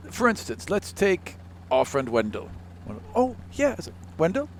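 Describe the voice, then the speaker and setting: A man's voice: sits high, wildly swooping pitch, moderate pace, a little hiss or hum. A man talking casually and improvising during a tabletop roleplaying session.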